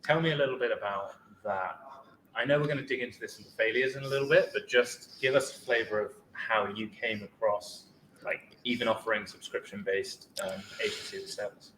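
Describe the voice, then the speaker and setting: A man's voice: fairly low pitch, fairly quick, fairly bright tone. A man hosting a podcast, asking questions in a chatty, loose way. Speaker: podcast host. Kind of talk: casual podcast interview. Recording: roomy with faint background noise.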